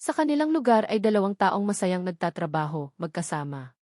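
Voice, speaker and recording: A woman's voice: fairly low pitch, big pitch swings, brisk, darkish tone, dry recording. Filipino woman narrating romance, dry sound. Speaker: story narrator. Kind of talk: dramatic scripted narration of a romance story.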